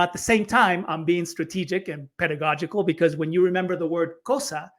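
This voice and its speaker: High-voiced man explaining with energy. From Chile. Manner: energetic, explanatory, repeats words when starting phrases. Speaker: storytelling coach. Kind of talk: podcast interview.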